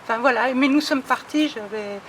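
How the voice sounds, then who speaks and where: A woman's voice: high-pitched, moderate pace, slight background noise. A woman in her seventies, a resident, recounting her youth in a conversational way with fillers. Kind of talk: recorded testimony.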